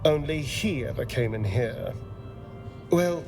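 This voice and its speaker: A man voicing a vain, centuries-old male vampire spawn, speaking in a hushed, breathy, shaken way, in a video game. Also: a little high, animated, takes it slowly, music in the background. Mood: baffled. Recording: distant game audio with background noise.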